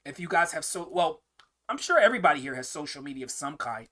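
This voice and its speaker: A man's voice: fairly high pitch, sing-song, fast, bright, forceful tone. A young man hosting a casual live-stream Q&A, answering viewer questions in a rambling way, often repeating words and restarting sentences.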